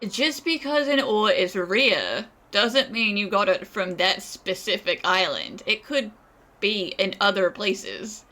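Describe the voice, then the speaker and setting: A sassy, easygoing young game character. Her tone is bright and lively in pitch, though she takes her time. She is frank and teasing. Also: takes it slowly. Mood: wry, unimpressed. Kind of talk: video game voice acting.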